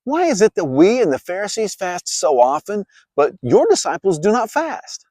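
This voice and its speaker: A man acts out a critical religious elder type from a scripture story. He is pointed and challenging, with a very animated pitch in a dry recording. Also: normal speed, dry recording. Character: questioning, critical religious men challenging a teacher.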